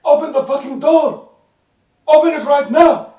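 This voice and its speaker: A man plays an aggressive soldier in a radio play, barking orders at a very high pitch. The sound is dull and roomy, with echo around the commanding voice. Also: measured pace, warm tone. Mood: furious. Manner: barked, commanding. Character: aggressive soldier shouting at a door.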